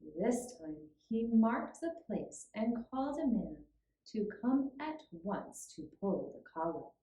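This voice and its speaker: A woman narrating a scripted children's puppet-show tale in a measured, deliberate way with pauses. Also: a little high, big pitch swings, dark tone. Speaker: storyteller. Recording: roomy, echoing room sound.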